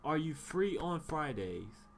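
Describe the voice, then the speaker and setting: A man's voice: a little high, sing-song, slow pace, creaky, heavy background noise, heavily acted. A young man voicing a curt, bossy female college teacher in a spoken storytime anecdote, insistent and scolding. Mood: brisk.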